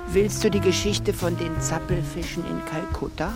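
An actress playing a teasing, dreamy teller of sailor stories in a children's radio play. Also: female voice, fairly low pitch, fairly even intonation, moderate pace, lightly acted. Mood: teasing, intimate. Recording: loud music behind the voice.

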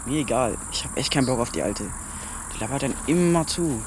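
A man's voice: mid-range voice, animated, brisk, background noise, close to an everyday voice. Man playing a blunt teenage big brother. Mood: annoyed. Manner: casual, blunt. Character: teenage big brother, casual, teasing but caring. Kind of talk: naturalistic children's radio drama acting.

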